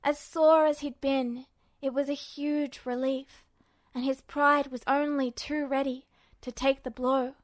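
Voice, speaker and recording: High-pitched woman reading fiction. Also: dry recording. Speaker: podfic narrator. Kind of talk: scripted fiction narration.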